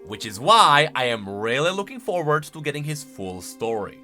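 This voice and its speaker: A man's voice: on the high side, very animated, normal speed, sharp tone, faint music behind. A man giving casual, playful commentary as a streamer, explaining and reacting to video game lore with emphatic delivery.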